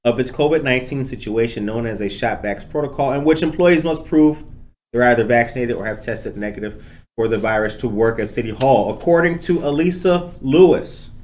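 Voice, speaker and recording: Male host, medium pitch, local news and casual interview, conversational with some halting, friendly to listeners. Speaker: podcast host.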